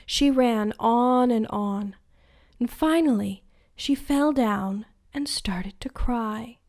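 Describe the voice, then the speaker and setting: A woman hosting a bedtime story show, narrating a fairy tale in a soft, hushed, soothing way. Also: a little high, takes it slowly. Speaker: host and storyteller.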